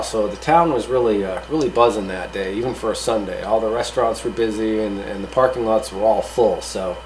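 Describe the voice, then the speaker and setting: A man's voice: medium pitch, a little mellow, slight background noise, poor audio. A man hosting a hobby gear review, giving a casual, unscripted rundown in a rambling, matter-of-fact way. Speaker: hobbyist video host reviewing radio gear.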